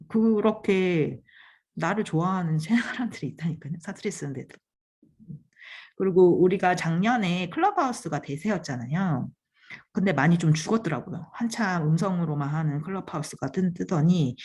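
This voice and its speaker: Woman, low voice, husky, chatty host, laughs a lot. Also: darkish tone. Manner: chatty, laughs often, trails off mid-phrase. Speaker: channel host giving advice on podcasting. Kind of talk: casual talk to camera.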